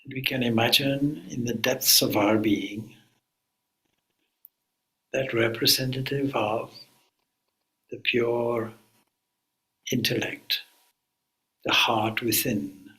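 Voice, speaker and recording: A man, a swami, leading a guided meditation in a calm, unhurried way with long pauses. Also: medium pitch, rough recording.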